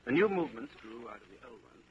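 An actor playing an earnest, intense dissident in a 1940s radio drama, speaking articulately. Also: male voice, medium pitch, lively intonation, fast talker, close to an everyday voice. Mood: intent. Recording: dull, hissy 1940s radio recording.

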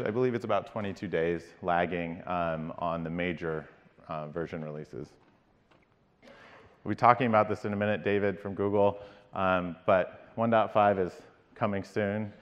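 Low-voiced man giving a keynote in a hall. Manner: measured, with frequent filler ums and restarts. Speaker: company CEO giving a keynote. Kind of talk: conference keynote presentation. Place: large dark conference stage. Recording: dull-toned keynote audio with long hall reverb.

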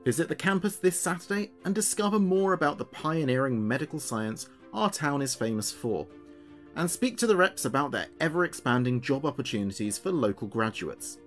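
Man playing a lively late-night radio DJ. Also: fairly high pitch, soft background music, natural voice. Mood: promotional. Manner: measured radio presenter delivery. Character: male late-night local radio DJ, chatty and steady. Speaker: radio host. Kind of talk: scripted late-night radio show narration.

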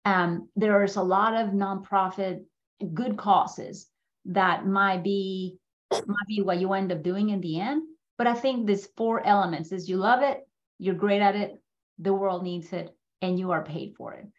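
A woman's voice: mid-range voice, measured pace, highs cut off. A middle-aged woman from Mexico gives an informal, conversational presentation about her own life, often checking in with listeners. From Mexico City, Mexico. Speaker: guest speaker at a business school. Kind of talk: informal slide presentation, personal story. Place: indoor room, on a video call. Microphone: video call microphone.

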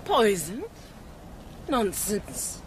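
A woman's voice: medium pitch, very animated, drawn-out words, slight background noise. An actress playing a haughty, menacing, unhinged aristocratic lady in a radio drama, with an imperious, theatrical manner. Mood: cold disdain. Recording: radio drama under loud music.

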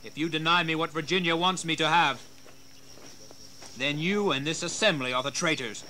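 An actor playing a bold, hot-headed colonial rebel leader in a historical audio drama, speaking forcefully and declaiming. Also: male voice, on the high side, normal speed, a little hiss or hum. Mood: furious, demanding.

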